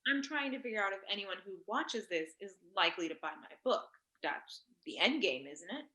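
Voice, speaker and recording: A woman's voice: medium pitch, expressive, brisk, bright, forceful tone. A woman in a staged play reading, playing a prickly, blunt academic author who talks in terse, disdainful questions. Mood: probing, dry. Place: indoors on a Zoom call.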